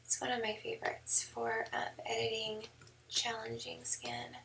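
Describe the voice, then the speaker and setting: A woman photographer giving a relaxed editing tutorial, hoarse and half whispering because her voice is going, with many ums. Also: a little high, unhurried, far from the mic, low-quality recording. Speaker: newborn photographer. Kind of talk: screen-recorded editing tutorial. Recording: mic set back, faint background noise.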